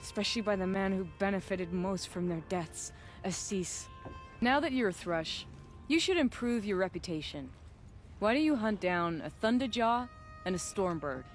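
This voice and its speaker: A woman's voice: medium pitch, expressive, normal speed, faint music behind, lightly acted. A woman playing a proud, defiant young noblewoman hunter in a video game, firm and assertive, with bitterness and determination. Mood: commanding. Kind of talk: video game dramatic acting.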